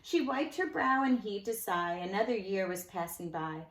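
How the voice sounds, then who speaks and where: A woman reading a picture book aloud to young children in an expressive storytelling style, with dramatic emphasis and conversational asides. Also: medium pitch, normal speed. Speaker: nursery school teacher reading a storybook aloud. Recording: echoey room sound.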